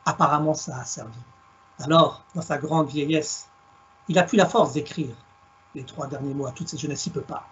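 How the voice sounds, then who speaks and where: A man's voice: on the high side, fairly bright tone, breathy edge, highs cut off. A middle-aged man from Alsace, giving a reflective storytelling talk over a video call with a headset. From France, Alsace. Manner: measured, reflective, plays on words. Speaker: guest speaker, storyteller. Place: home room on a video call.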